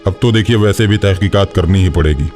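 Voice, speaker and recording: A man's voice: low-pitched, brisk, darkish tone, faint music behind, natural voice. A man playing an authoritative, menacing, suspicious police inspector in a cartoon horror story. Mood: matter-of-fact. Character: stern, intimidating male village police inspector, threatening and suspicious. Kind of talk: Hindi animated horror story acting.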